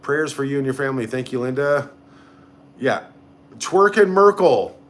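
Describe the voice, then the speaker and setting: A man's voice: medium pitch, animated, moderate pace. A man hosting a casual live stream, reading out comments and reacting in a blunt, sarcastic, sweary way. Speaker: livestream host.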